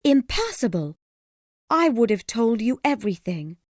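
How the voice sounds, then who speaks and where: A man acts out a proud, anxious woman from a storybook. The voice sits high and swings widely, with a theatrical, emphatic delivery that pleads and insists. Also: big pitch swings, unhurried, a little mellow, no room sound, clean studio sound, full character voice. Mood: bitter, proud. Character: anxious, proud women: a pleading mother, a bitter beloved.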